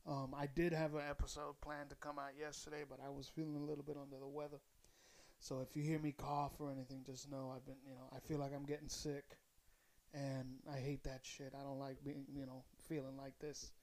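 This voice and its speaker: A man hosting his own podcast in a casual, rambling way, a little hoarse as he comes down with a cold. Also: mid-range voice, completely flat delivery, fairly quick, a little mellow. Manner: rambling, loose, swears casually. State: slightly hoarse, coming down with a cold. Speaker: podcast host. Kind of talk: casual solo podcast chat.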